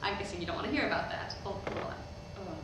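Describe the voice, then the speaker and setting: A woman's voice: mid-range voice, lively intonation, natural voice. A woman playing an eighteen-year-old preacher's daughter, nervous but defiant, giving a hesitant sermon in a staged reading. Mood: nervous, flustered. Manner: halting, hesitant public address with rhetorical questions. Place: indoor hall, recorded from the audience. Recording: very echoey hall, distant microphone, noisy.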